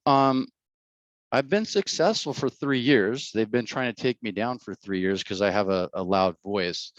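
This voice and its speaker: Blunt, rambling man with a low voice. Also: no echo, dull audio. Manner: rambling, repeats words, blunt. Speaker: mayoral candidate. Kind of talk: casual interview answers.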